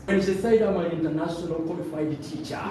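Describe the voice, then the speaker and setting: A man's voice: a little high, fast. A man with a Zambian accent instructing a live room as a motivational trainer, directing listeners, repeating phrases and checking that they follow. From Luapula, Zambia. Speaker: trainer leading a presentation course. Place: indoor training room with stage. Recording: very echoey room, distant mic, noisy.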